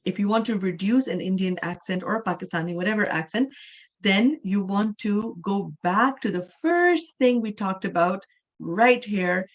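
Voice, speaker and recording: A woman in her forties from Canada teaching an English class online. She explains patiently, slowing down and repeating key words for emphasis. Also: mid-range voice, expressive, dull audio.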